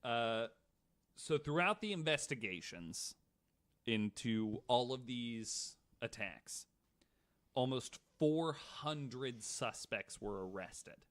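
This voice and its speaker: Man, American accent, bright forceful voice, podcast storyteller, reading from notes, casual asides. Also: medium pitch, expressive, takes it slowly. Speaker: podcast co-host.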